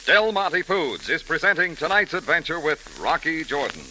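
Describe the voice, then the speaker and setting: A man's voice: fairly high pitch, very animated, moderate pace, music in the background. An American man announcing a scripted 1940s radio advertisement in an emphatic, polished sales manner. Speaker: sponsor announcer. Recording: faintly noisy vintage radio recording.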